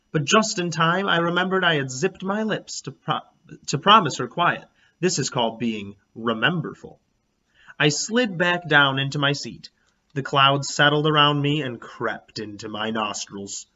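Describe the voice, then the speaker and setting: A man reading a children's storybook aloud as a teacher, in an expressive, animated, emphatic way. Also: medium pitch, muffled recording. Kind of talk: expressive storybook read-aloud in a child narrator's first person.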